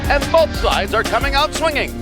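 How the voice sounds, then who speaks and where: Man doing a high-pitched dramatic announcer over music. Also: sing-song, fairly quick. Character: dramatic announcer of a satirical serial, urgent. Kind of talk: parody trailer narration.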